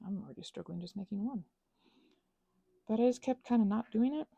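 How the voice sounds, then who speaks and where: A woman chatting casually in a rambling ASMR craft style, with playful, animated asides and frequent self-interruptions. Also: fairly high pitch, a little fast, vocal fry, breathy edge, no room sound. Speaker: ASMR and audio creator.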